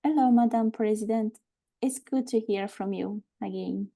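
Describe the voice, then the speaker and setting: A frank, composed woman with an undercurrent of unease, played by an actress at a live table read of a film script. Her tone is mellow and relaxed at a medium pitch. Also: normal speed, gravelly. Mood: uneasy, respectful. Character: earnest, frank warehouse woman named Aoife, uneasy but composed.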